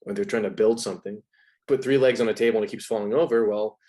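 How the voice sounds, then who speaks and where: A man's voice: on the low side, steady tone, fast, warm tone. A man talking as an interview guest, explaining ideas in a casual, conversational way.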